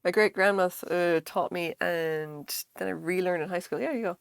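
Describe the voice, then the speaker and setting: An Irish woman in her thirties, chatting loosely to a live-stream audience. Her voice sits a little low, and she rambles and swears with easy humour. The sound is dry and close, with no room echo. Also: normal speed, vocal fry, smooth. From Ireland. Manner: chatty, rambling, swears freely, laughs often. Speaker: crochet streamer. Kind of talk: casual live-stream chat.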